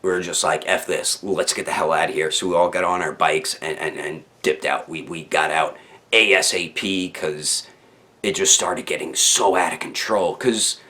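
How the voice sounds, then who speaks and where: A man with a low voice hosts a casual storytime for his online viewers. He talks in loose, slangy sentences without a script, rambling and doubling back on words as he goes. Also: steady tone, normal speed, pushed and bright. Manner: rambling, slangy, with stammered repeats. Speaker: YouTube storyteller, channel host.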